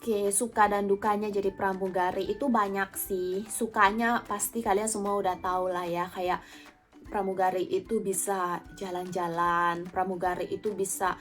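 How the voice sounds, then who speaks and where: A young woman with an Indonesian accent speaks in a medium-pitched voice. Her delivery is informal and conversational, like a vlogger chatting directly with followers. Music plays behind her voice. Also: flat delivery, normal speed. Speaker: vlogger, former or current flight attendant. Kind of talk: casual chatty Q&A to viewers. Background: background music.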